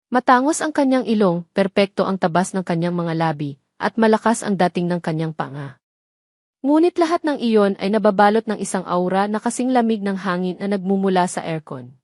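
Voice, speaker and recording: A woman's voice: mid-range voice, a little mellow, no room sound, studio quality. A woman reading scripted dramatic story narration as the narrator.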